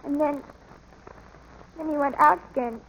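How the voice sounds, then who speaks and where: A woman playing a sick young boy in an old radio drama, speaking in a halting, plaintive, pleading way. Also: high voice, steady tone, heavy background noise. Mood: weak, sorrowful. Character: sick, feverish young boy grieving for his filly. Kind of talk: 1940s radio drama acting. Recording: old radio broadcast with music underneath. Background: music.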